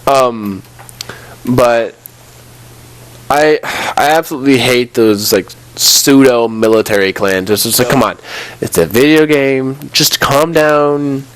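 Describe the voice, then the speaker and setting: A man's voice: medium pitch, slow pace, a bit bright, clear, resonant tone, dry recording. A nineteen-year-old man telling stories casually while gaming, exasperated and acting out remembered exchanges. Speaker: gaming video host. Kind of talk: casual storytelling while playing a video game.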